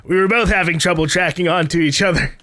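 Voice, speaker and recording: A man with a slightly high voice commentates on a video game. He rambles casually and playfully, thinking out loud. Faint game noise sits behind him. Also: quick pace. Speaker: gaming video host. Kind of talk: casual let's play commentary. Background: faint game sounds.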